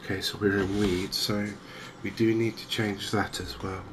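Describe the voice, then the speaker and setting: A man softly narrating a game in ASMR style, hushed and hesitantly thinking aloud. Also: fairly low pitch, little pitch movement, moderate pace. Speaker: gaming video host. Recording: noticeable background ambience noise. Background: game ambience.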